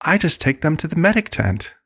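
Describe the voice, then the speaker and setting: A man's voice: fairly high pitch, big pitch swings, a little fast, a bit bright, breathy edge, exaggerated voice. A man performing an ASMR roleplay as a serenely manipulative cult leader, soothing, condescending and persuasive. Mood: coaxing, reassuring.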